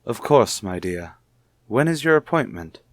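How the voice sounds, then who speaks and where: Old radio soap husband, formal and pointedly polite, kinda suspicious, mid pitch, no room echo. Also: male voice, big pitch swings, unhurried, clean studio sound. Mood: coolly solicitous. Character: suspicious, coolly courteous husband, probing his wife. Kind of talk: old-time radio soap opera acting.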